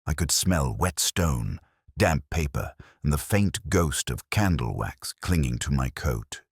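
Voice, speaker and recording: A man playing a melancholy middle-aged English police detective, narrating in a measured, literary and wistful way as if from a notebook. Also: a bass voice, expressive, breathy edge, dry recording, clean studio sound, full character voice. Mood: somber. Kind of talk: scripted first-person dramatic narration.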